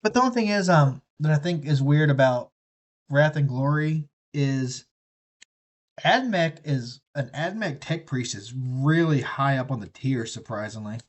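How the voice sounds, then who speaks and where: A man's voice: mid-range voice, lively intonation, measured pace. A man chatting casually on a live stream, rambling and profane as he talks. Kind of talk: casual rambling chat while building a kit.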